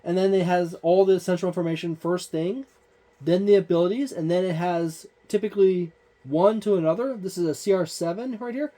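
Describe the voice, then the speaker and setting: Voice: mid-range voice, brisk, dark tone. A tabletop gaming channel host talking through a book page by page in a loose, rambling way with many fillers. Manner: points things out on the page. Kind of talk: casual book walkthrough.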